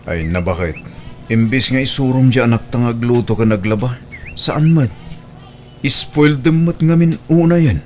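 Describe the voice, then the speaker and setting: A man's voice: mid-range voice, moderate pace, darkish tone, slight background noise, natural voice. A man playing a stern, disapproving middle-aged husband and father in an audio drama, arguing in a reproachful manner. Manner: reproachful, argumentative.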